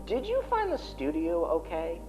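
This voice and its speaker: A man's voice: a little high, wildly swooping pitch, moderate pace, over music, poor audio. An actor playing a male talk show host in an analog horror web series, in acted chat. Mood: uneasy.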